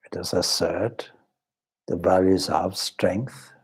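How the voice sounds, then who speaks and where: Man, deep voice, breathy husk, measured delivery, guided meditation, short deliberate phrases. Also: steady tone. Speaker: meditation teacher, swami. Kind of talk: guided meditation, slow spoken instruction.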